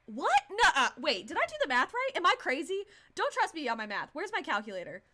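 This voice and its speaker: Woman in her twenties, game streamer, high bright voice, excitable, repetitive, casual, faint music behind. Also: a little fast. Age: 20s. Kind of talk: casual livestream reaction commentary.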